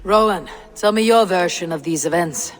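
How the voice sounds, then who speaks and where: A fair-minded Viking chief voiced by a man in a game cutscene. The delivery is firm, measured and brief, used to being obeyed. It echoes as in a big hall, over music. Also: high-pitched, natural voice. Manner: commanding, measured, terse. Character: stern, fair-minded Viking leader judging disputes. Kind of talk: video game cutscene acting. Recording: long hall reverb.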